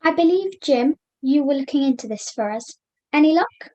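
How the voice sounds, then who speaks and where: High-voiced, polite puppet chairwoman played by a woman. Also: animated, moderate pace, warm tone. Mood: orderly. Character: polite, flustered puppet chairwoman running a meeting. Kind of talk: amateur youth puppet show acting.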